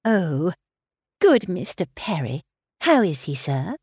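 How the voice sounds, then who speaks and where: A woman reading aloud voices a gentle, polite young mother talking with her father. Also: fairly low pitch, big pitch swings, slow, slightly rough, dry recording, clean studio sound, heavily acted. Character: gentle young mother, dutiful married daughter. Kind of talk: audiobook character reading.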